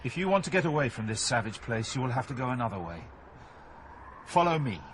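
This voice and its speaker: An actor playing the wise, resolute ghost of an ancient poet who guides travelers, solemn and assured in an audio drama. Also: male voice, mid-range voice, big pitch swings, brisk, close to an everyday voice. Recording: faint background noise.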